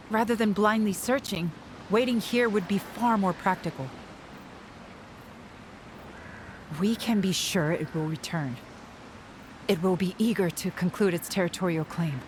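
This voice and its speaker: A woman acting a composed female steward and strategist in a game. She speaks formally and deliberately at a medium pitch, with faint ambience behind her. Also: slight background noise, natural voice. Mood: firm, reasoning. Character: composed, pragmatic female town steward and adviser. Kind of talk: video game cutscene acting.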